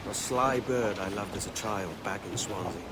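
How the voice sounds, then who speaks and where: An actor playing a brash, cocky young pirate captain with a Welsh accent and a wry, bantering manner in a video game. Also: male voice, lowish voice, moderate pace, heavy background noise, lightly acted. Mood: easy, wry. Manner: wry, bantering, seafaring turns of phrase. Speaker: ship's captain. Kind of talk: video game cutscene acting.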